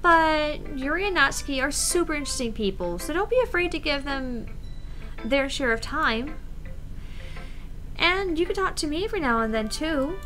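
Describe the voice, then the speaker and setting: Brief: woman as friendly, teasing schoolgirl club member in a visual novel, bright high voice, faint music behind. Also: animated, moderate pace, rough recording. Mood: gentle, teasing. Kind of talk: visual novel read-aloud.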